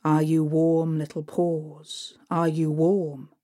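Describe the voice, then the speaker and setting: A woman voicing Father Frost, an old male winter spirit who speaks slowly and eerily in a lowered voice. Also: level tone, dark tone. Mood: eerie, coaxing.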